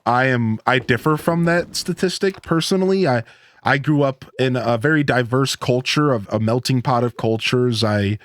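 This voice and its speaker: A man's voice: mid-range voice, moderate pace, no room sound. A man from Ohio with a Midwestern twang, chatting casually with live-stream viewers in a rambling, laid-back way. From Northeast Ohio, USA.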